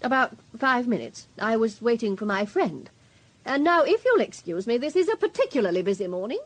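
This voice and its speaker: Prim woman, husky and high. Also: sing-song, a little fast, no room sound. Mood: firm, brisk. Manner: precise, haughty, formal. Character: prim, respectable, disapproving female witness, sure of herself. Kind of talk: radio drama acting.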